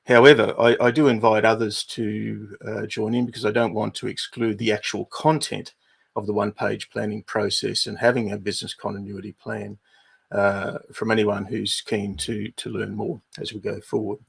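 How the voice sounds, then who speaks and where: A middle-aged Australian man with an Australian accent, hosting a livestream and walking viewers through housekeeping in an unhurried way with many fillers. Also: fairly low pitch, flat delivery. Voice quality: gravelly, husky. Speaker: livestream host, business advisor.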